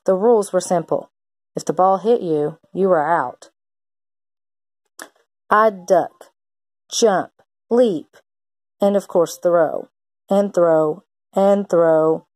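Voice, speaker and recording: A woman's voice: on the low side, slow pace, long pauses, darkish tone, no room sound. A woman reading a children's picture book aloud like a teacher, expressively acting out the characters' lines.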